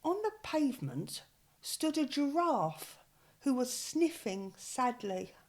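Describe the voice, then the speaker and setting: An older English woman with an expressive manner, reading a children's picture book aloud. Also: high-pitched. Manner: slow, expressive storytelling. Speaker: storyteller.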